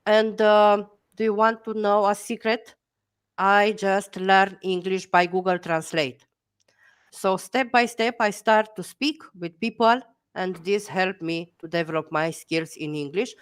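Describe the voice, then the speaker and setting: Romanian woman speaking English slowly. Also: mid-range voice, smooth. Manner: hesitant, many fillers, encouraging. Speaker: guest educator. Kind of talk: video call Q&A. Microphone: headset.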